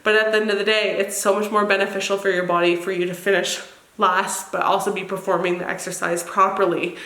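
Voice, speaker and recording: A woman's voice: medium pitch, level tone, fairly quick, a bit bright. A woman fitness vlogger with a crackly, congested, nasal voice from getting over an illness, giving casual, chatty advice to her viewers and repeating words for emphasis. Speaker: fitness vlogger and channel host. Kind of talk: casual advice talk to camera. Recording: roomy echo on the voice.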